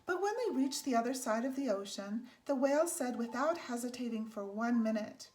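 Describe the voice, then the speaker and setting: A woman reading a children's picture book aloud as a storyteller, in a measured, deliberate way with emphasized phrases. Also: on the high side, animated, a little mellow. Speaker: storybook reader. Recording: faint background noise.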